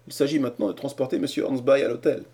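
A man voicing a calm, courteous man from Brittany who speaks politely and evenly. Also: medium pitch, quick pace, voice pushed up, close to an everyday voice. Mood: ironic. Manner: polite and composed.

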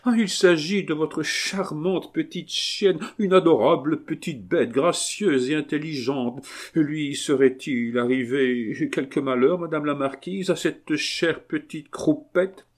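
A man's voice: on the high side, animated, moderate pace, few pauses, pitched above the performer's own voice. A man voicing an obsequious, effusive priest from a novel, with a gushing, exclamatory, theatrical manner. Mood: effusive concern. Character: obsequious, effusive male priest, eager to please.

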